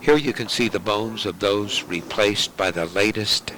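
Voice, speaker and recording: A man narrating a home travel video in a measured, informative way. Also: fairly low pitch, fairly bright tone, poor audio.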